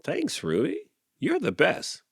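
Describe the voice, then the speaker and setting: A man's voice: high-pitched, wildly swooping pitch, slow, creaky, breathy edge, no echo, clean studio sound, pitched above the performer's own voice, exaggerated voice. A man voicing a scrawny, shy young rooster who talks hesitantly and stammers in a storybook reading.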